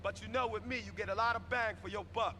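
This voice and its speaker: A man's voice: high-pitched, steady tone, fast, pushed and bright, a little hiss or hum. A man playing a cocky, friendly explosives expert in a video game cutscene. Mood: confident.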